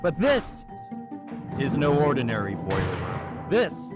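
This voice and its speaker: A man's voice: medium pitch, wildly swooping pitch, loud music behind the voice. Man putting on a bombastic superhero narrator. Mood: dramatic. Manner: grand, dramatic pauses. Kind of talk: mock-heroic skit narration.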